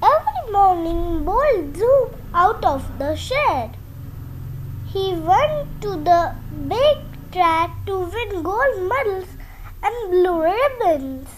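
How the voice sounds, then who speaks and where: A woman's voice: very high voice, big pitch swings, takes it slowly, slight background noise, rough recording. A young girl reading a children's story aloud, carefully.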